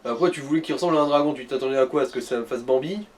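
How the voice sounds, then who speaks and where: A man's voice: mid-range voice, racing, slight background noise. A man in casual gaming chat, co-commentating on a let's play.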